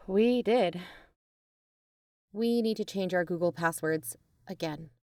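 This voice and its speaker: Sincere, measured young woman, played by an actress. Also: on the low side, darkish tone, no room sound, studio quality. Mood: resigned. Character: sincere, troubled young woman narrating her friends' investigation. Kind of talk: naturalistic audio drama dialogue and narration.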